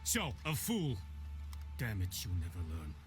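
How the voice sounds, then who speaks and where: An actor playing an imperious military commander in a fantasy game, speaking formally with cold, commanding authority. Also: male voice, on the low side, wildly swooping pitch, measured pace, soft background music. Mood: stern, contemptuous.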